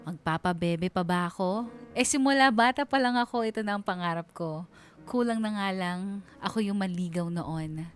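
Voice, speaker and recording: Actress as chatty, lovestruck young Filipina narrator, music underneath. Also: female voice, mid-range voice, moderate pace, natural voice. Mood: gushing. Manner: chatty, gushing. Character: giddy, lovestruck young woman telling her story. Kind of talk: dramatized letter narration.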